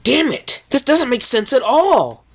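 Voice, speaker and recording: Brash tomboy pony girl, squeaky high and hissy, fan reader doing the voice. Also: big pitch swings, unhurried, exaggerated voice. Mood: frustrated. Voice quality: hissing, exasperated. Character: brash tomboyish female pony, hot-tempered.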